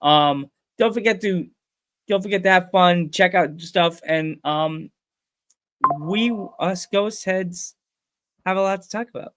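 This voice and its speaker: A man's voice: high-pitched, takes it slowly, a bit bright, no echo. A man with a stuffed-up nose, hosting a podcast and chatting casually, laughing often. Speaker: podcast host. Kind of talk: casual chat.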